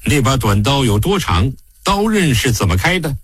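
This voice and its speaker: A man's voice: mid-range voice, big pitch swings, normal speed, slightly rough, no room sound, clean studio sound. A man narrating an audiobook, voicing a stern, fatherly investigating magistrate with a weighty, admonishing manner. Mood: probing.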